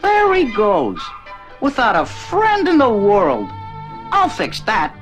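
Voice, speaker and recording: A man's voice: high voice, wildly swooping pitch, takes it slowly, fairly bright tone, soft background music, full character voice. An actor playing a small, plucky, friendly male circus mouse in a children's read-along story, brash, eager and encouraging.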